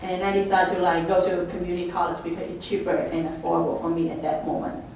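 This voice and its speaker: A young woman student on a panel, speaking plainly and haltingly into a handheld mic in a meeting room. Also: lowish voice, little pitch movement, creaky, a little mellow. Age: young adult. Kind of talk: panel talk. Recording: echoey room from far off, faint noise.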